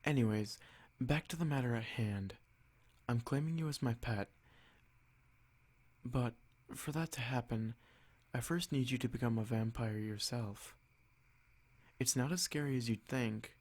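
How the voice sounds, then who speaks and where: Man playing a vampire lord, noble, haughty, possessive, measured delivery, condescending, calm menace, medium-pitched male voice, ASMR roleplay. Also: lightly acted. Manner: measured, condescending, softly threatening.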